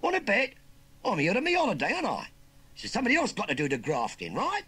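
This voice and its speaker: A young Cockney scarecrow lad for a storybook. The voice is pitched well up and raspy, swooping about as he jeers and bosses. He is a cocky, menacing little bully. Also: wildly swooping pitch, a little fast, heavily acted. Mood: insistent, aggressive. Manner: sneering, bossy, jeering.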